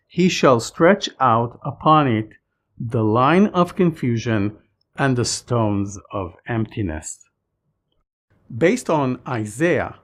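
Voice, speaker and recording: Man, Hebrew speaker, mid-pitched voice, measured explanatory narration, scripted lesson. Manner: calm, explanatory, measured delivery. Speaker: Hebrew teacher.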